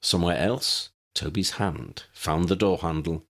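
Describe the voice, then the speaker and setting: A man reading a story as a dramatic, expressive audiobook narrator. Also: deep voice, measured pace.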